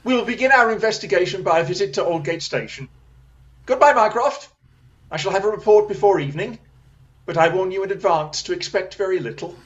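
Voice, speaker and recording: A man acting a brilliant, brisk detective in a radio play, sardonic and intense, on slightly dull audio. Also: high voice, lightly acted. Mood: brisk, decisive.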